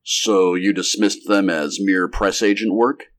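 Man playing a steady, low-voiced government agent. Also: unhurried. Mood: quiet, serious. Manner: matter-of-fact, businesslike. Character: steady, quiet male Secret Service operative. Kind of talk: acted story dialogue.

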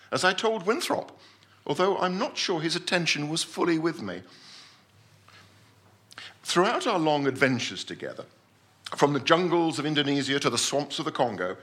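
A man playing a pompous, self-assured gentleman scientist telling his adventure in a theatrical story reading. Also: a little high, full character voice. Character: pompous, obsessive Victorian-style gentleman scientist recounting his deep-sea adventure.

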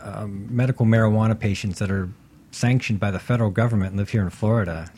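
Man guest, husky, earnest advocate on talk radio. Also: fairly low pitch, steady tone, quick pace, darkish tone. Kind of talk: casual radio talk show discussion.

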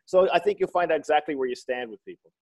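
A man's voice: on the high side, lively intonation, fast talker, darkish tone. A man, a comedian and author, telling joking stories in a casual interview. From Newfoundland. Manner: joking storyteller, slips into voices.

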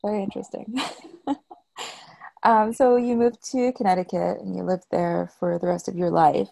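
A woman hosting an interview talk show, reading a scripted opening and then asking relaxed questions. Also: mid-range voice, normal speed, vocal fry, no room sound.

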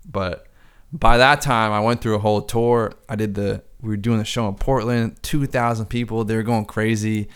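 A man's voice: fairly low pitch, steady tone, normal speed, clean tone. A man who works as a DJ, chatting casually on a podcast, rambling with lots of filler words and swearing freely.

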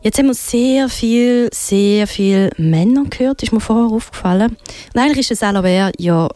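A woman's voice: a little high, animated, normal speed, dark tone, no echo. A woman with a Swiss German accent presenting music on the radio in a casual, conversational, informal way. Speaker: radio host.

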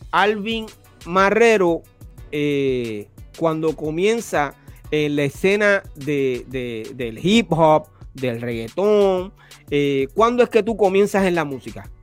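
High-voiced, slow-speaking male podcast host. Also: clear, resonant tone. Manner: slow, deliberate questions with many "eh" fillers. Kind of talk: casual interview.

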